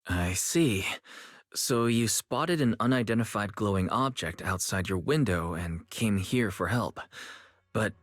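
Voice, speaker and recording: An actor playing a calm, low-key young brother and investigator in an anime-style game, soft-spoken and measured. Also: male voice, no room sound, studio quality.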